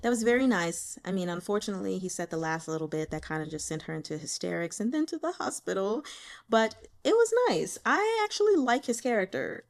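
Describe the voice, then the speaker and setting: A woman giving casual, chatty commentary as a host and reader, in an informal and expressive manner. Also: medium pitch, fairly quick, gravelly.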